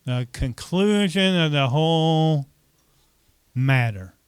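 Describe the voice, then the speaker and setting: A man's voice: fairly high pitch, animated, slow pace, smooth, no echo, clean studio sound. A man preaching a conversational sermon as pastor, often asking the congregation questions and saying "okay" between points.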